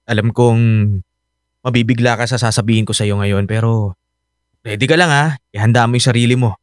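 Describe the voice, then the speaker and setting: An actor playing Felix, a clingy young boyfriend in love, emotional and coaxing in a radio romance drama. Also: male voice, medium pitch, normal speed, pauses often, dry recording, clean studio sound, close to an everyday voice. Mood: nervous, coaxing. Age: young adult.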